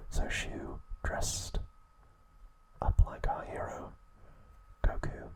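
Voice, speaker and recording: Male ASMR host. Full breathy whisper throughout, very unhurried, gentle and deliberate delivery. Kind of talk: whispered ASMR narration and trivia.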